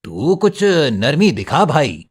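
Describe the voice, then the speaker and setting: A man voicing a fair-minded village headman in a cartoon story, measured and reasoning. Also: on the high side, sing-song, husky, heavily acted. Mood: persuasive. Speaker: voice actor.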